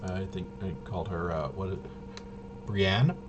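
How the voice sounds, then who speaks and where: A man thinking aloud through solo tabletop game commentary, casual and halting, often muttering to himself as he works things out. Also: deep voice, fairly even intonation, dull audio, background noise. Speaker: tabletop RPG player and presenter.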